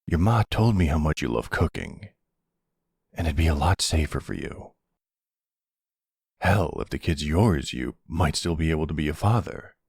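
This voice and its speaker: A man playing an older, calm and commanding mafia boss with a low husky voice, speaking deliberately in an intimate roleplay audio drama. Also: no echo, clean studio sound, exaggerated voice. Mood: calm, sincere. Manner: measured, deliberate, authoritative. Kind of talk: ASMR boyfriend roleplay acting.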